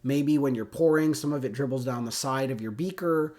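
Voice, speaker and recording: A man with a stuffy, congested voice talks students through a chemistry lesson, his pitch sitting right in the middle. He keeps it casual and conversational, pausing to check in with an easy "okay?" along the way. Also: darkish tone. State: congested from a cold. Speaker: chemistry teacher. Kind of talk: recorded classroom lecture.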